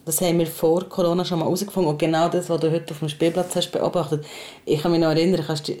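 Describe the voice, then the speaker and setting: A woman's voice: sits low, flat delivery, fast talker, mellow. A young woman hosting a podcast in a casual, chatty style. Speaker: podcast host.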